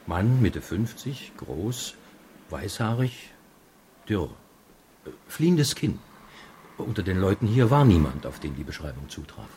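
An actor playing a reserved drugstore owner who answers questions tersely and deliberately in a radio crime drama. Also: male voice, low voice, very animated, dark tone, slight background noise. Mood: reserved, matter-of-fact. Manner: laconic, deliberate.

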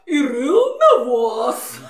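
Gushing, grunting storybook sow, high voice, drawn-out delivery, echoing space. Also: female voice, huge pitch swings, full character voice. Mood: excited. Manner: effusive, oinking. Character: effusive female pig, grunting fairy-tale party guest. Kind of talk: children's audio play acting.

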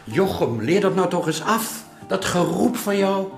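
An actor playing an exacting master painter in a historical radio drama, chiding his apprentice in an unhurried way with hesitant pauses. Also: high voice, lively intonation, gravelly, full character voice. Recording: echoey room, music underneath.

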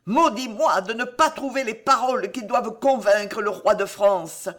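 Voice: medium pitch, moderate pace, pitched above the performer's own voice, close to an everyday voice. Performer as a fierce fanatical queen, raised voice. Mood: fanatical pleading. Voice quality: hoarse, gravelly. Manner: declamatory, loud, commanding. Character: fierce fanatical queen mother, imperious and exalted.

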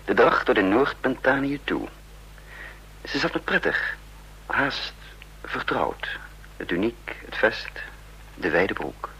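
A man's voice: medium pitch, very animated, slow pace, gravelly, long pauses, bright, forceful tone, heavily acted. An actor playing a suave, sly man on the run in a radio drama, teasing and mock-pompous, who also narrates. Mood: tense narrating. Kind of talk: radio drama acting and first-person narration. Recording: slightly noisy radio drama recording.

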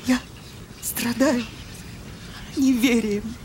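An actress playing an effusive, sentimental society lady in a Russian audio drama, with a halting, melodramatic delivery. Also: a little high, steady tone, darkish tone, slight background noise. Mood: anguished, timid.

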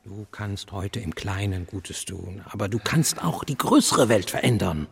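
An actor playing a cynical, urgent older intellectual mentor in a radio play, persuading insistently with a husky, gravelly voice. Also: male voice, on the low side, expressive, measured pace, full character voice. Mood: insistent, persuasive. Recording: long reverberant hall.

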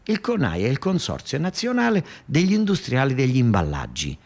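A man's voice: medium pitch, wildly swooping pitch, brisk, gravelly, darkish tone. A man with a southern Italian accent performing a theatrical narrative monologue in a colloquial, emphatic, repetitive way. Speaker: actor-storyteller.

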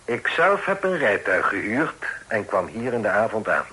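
Formal, husky-edged, forceful father. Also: fairly low pitch, sing-song, heavily acted, poor audio. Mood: measured, confiding. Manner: formal, measured, authoritative. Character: proud, protective father in hiding, formal and shrewd. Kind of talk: period radio drama acting. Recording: old radio play recording.

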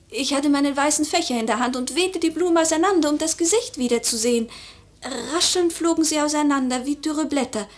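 An actress playing an imperious, troubled noble widow in a classical radio drama, haughty and dramatic. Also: female voice, high-pitched, lightly acted. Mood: agitated, haunted. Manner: haughty, dramatic, whispery at times.

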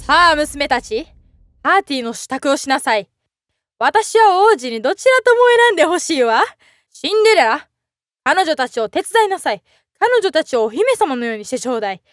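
A woman's voice: high voice, very animated, a little fast, a bit bright, no echo, studio quality. Sneering wicked stepmother. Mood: scolding. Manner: haughty, scolding. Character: scornful cruel stepmother, middle-aged woman. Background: music.

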